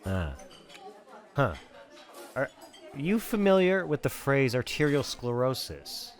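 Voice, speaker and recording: A man's voice: mid-range voice, sing-song, slow pace. Man playing a fussy, swooping nervous accountant. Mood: earnest insisting. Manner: hesitant, stammering, earnestly nagging. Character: fussy, nervous male accountant on the run, politely persistent. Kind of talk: acted film script read.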